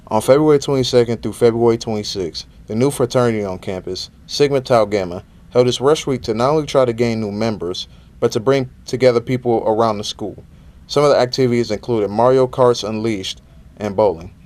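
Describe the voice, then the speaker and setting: A man's voice: medium pitch, fairly quick, darkish tone. A young man narrating a scripted report as a campus news reporter. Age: young adult.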